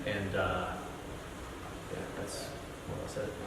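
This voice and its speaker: A man's voice: deep voice, level tone, slow, creaky. A man on a panel, answering briefly and hesitantly. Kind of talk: panel discussion. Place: library event room. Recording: echoey hall, mic far off, noisy.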